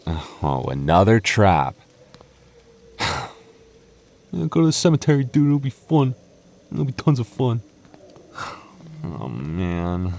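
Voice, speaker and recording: A man playing a deep-voiced, stammering goth boyfriend. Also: big pitch swings, slow pace, vocal fry, long pauses, a little mellow, slight background noise, full character voice. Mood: uneasy. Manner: stammering, self-teasing, laughs often. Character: goofy, doting young goth boyfriend, easily rattled. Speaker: voice actor. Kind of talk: ASMR boyfriend roleplay.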